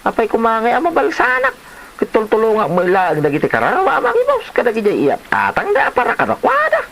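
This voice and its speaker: A man's voice: extremely high pitch, wildly swooping pitch, fast, gravelly, a bit bright, slight background noise, exaggerated voice, low-quality recording. A man playing a stubborn, joking, blustering husband in a comedy audio drama. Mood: dismissive. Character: stubborn, joking husband who raids grave offerings. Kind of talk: comedy radio-style drama acting.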